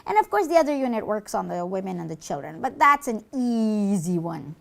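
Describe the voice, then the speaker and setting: A woman voicing a gleeful, boastful devil in a children's puppet show, gloating with theatrical, drawn-out emphasis. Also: mid-range voice, sing-song, gravelly, voice pushed down. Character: gleeful, boastful devil who leads people astray.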